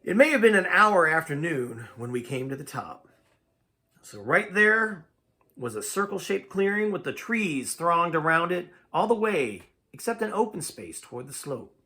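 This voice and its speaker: A man's voice: fairly high pitch, sharp tone. A man reading a first-person tale aloud in a dramatic, folksy storytelling manner. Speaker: amateur audiobook reader.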